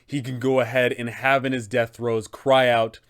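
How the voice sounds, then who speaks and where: A man's voice: medium pitch, level tone, moderate pace, clear, resonant tone. A young adult man hosting an explanatory tabletop game video, speaking in an enthusiastic, emphatic way. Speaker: host, game master advisor. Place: small room indoors, seated.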